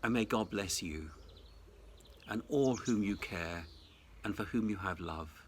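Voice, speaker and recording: A man, a senior Anglican clergyman, delivering a reflective Easter sermon in a measured, deliberate, formal way. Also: lowish voice, a little hiss or hum. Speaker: Anglican cathedral dean giving Easter message. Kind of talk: reflective sermon, prepared address.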